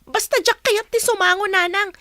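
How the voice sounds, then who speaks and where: Super high piercing stubborn kid, whiny and pleading, radio drama. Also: female voice, fairly even intonation, normal speed, pushed and bright, no echo, studio quality. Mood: stubborn refusal. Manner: whining, pleading. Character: distraught, stubborn schoolchild pleading with mother.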